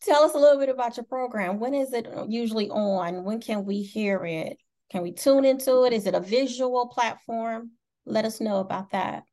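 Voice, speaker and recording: A woman's voice: a little high, mellow. Friendly Midwestern American woman host. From Indiana, USA. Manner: friendly, quick questions, laughs easily. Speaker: talk show host. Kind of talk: casual interview chat.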